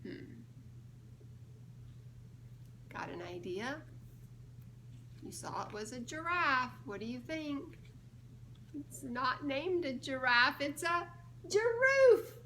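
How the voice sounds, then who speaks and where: A woman reading a picture book aloud to young children in a playful, expressive way, pausing to ask guessing questions. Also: sits high, a little hiss or hum. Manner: playful, expressive, drawn-out delivery of key words with teasing pauses. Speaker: children's storybook reader and church children's ministries director.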